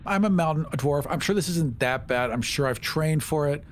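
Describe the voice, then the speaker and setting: A man's voice: fairly high pitch, expressive, moderate pace, a little hiss or hum. A man playing a tabletop role-playing game, describing his moves casually in a wry, playfully argumentative way. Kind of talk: casual in-character play and banter.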